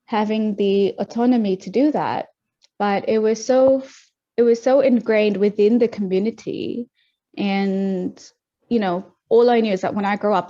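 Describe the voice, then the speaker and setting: A woman's voice: mid-range voice, dark tone. A young woman from Tanzania, a podcast guest, talking reflectively about her life with frequent ums and restarts. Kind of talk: casual interview talk. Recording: muffled lo-fi audio.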